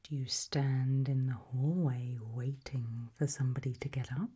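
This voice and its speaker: A British woman whispering an ASMR roleplay in a breathy voice, putting slow, deliberate questions with long pauses. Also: very deep voice, fairly even intonation, mellow.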